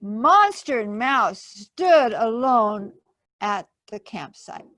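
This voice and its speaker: A woman hosts a children's storytime with a slightly high voice. She takes her time, very slowly, explaining things simply to young listeners. The sound is dry and close. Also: big pitch swings, a bit bright, no room sound. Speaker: library storytime host. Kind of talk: children's read-aloud storytelling.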